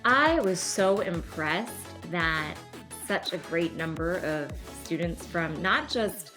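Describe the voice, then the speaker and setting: A woman's voice: on the low side, lively intonation, slow pace, sharp tone, soft background music. A woman giving an interview comment in a thoughtful, sincere way. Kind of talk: interview soundbite.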